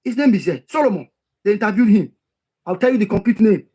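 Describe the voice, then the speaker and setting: A man's voice: high-pitched, lively intonation, fast talker, dark tone, husky. A man reading passages from a history text and explaining them, repeating key phrases for emphasis. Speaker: history commentator.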